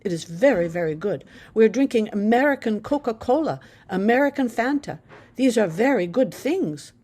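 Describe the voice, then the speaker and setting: A woman's voice: on the high side, expressive, normal speed, a little mellow, pitched above the performer's own voice. A woman voicing an eager, chatty teenage girl in a spoken memoir. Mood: excited.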